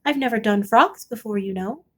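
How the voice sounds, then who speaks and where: A woman voicing a blunt but obliging fairy godmother from a storybook, brisk and offhand. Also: on the high side, a bit bright. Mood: blunt, breezy.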